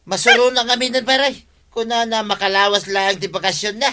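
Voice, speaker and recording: A man's voice: high voice, little pitch movement, a little fast, heavy background noise, close to an everyday voice. An actor with an Ilocano accent playing a blunt, meddling village man in a comedy radio drama. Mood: insistent.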